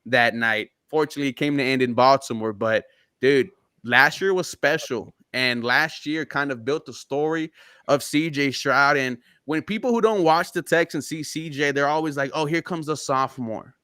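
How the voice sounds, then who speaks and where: A man on a casual sports podcast, breaking down his team's roster with excited, rapid-fire analysis. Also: mid-range voice, clean tone, dry recording, studio quality. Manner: excited, fast-flowing football analysis. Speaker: podcast guest.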